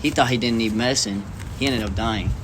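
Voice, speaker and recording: A man's voice: medium pitch, brisk. A young man co-hosting a casual podcast chat, rambling with lots of "like" and breaking into giggles. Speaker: podcast co-host. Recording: noisy background.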